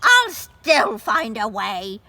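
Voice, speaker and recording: A woman's voice: a little high, huge pitch swings, extremely slow, bright, forceful tone, full character voice. A woman voicing an evil, tyrannical witch queen, screeching and imperious, in a children's storybook reading. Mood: furious screeching.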